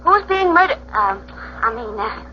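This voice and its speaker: A woman's voice: a little high, big pitch swings, moderate pace. An actress playing a playful, inquisitive young woman, a detective's companion, in a 1940s radio drama. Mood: playful, curious. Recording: muffled, hissy 1940s radio recording.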